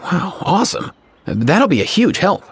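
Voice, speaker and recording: An actor playing a young, cheerful, trusting character in a video game, talking casually. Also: male voice, high voice, measured pace, a little hiss or hum. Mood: amused. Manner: casual, animated.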